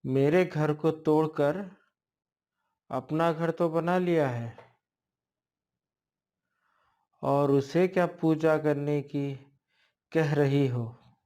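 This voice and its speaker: A man's voice: fairly high pitch, extremely slow, long pauses, mellow. A man voicing an arrogant demon spirit that has possessed a priest, boastful and menacing, in a horror story.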